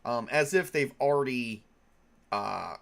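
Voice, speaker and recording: A man's voice: mid-range voice, expressive, takes it slowly, vocal fry, a bit bright. A man with a cold, stuffed up, chatting casually as a tabletop game master on a stream, rambling with many fillers and restarts. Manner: rambling, lots of ums and restarts. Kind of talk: casual live-stream chat.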